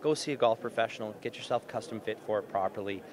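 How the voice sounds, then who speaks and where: A man's voice: lowish voice, fast talker. A middle-aged man, giving spontaneous interview answers as an association president. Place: large indoor exhibition hall. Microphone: handheld reporter mic. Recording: busy convention crowd behind.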